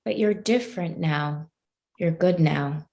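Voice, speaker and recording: A woman's voice: deep voice, vocal fry, a little mellow. A woman in a staged play reading, voicing a hot-tempered, awkward and defensive teenage schoolgirl with a blunt, rambling way of talking. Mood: subdued, curious. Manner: blunt, sweary, halting then rambling.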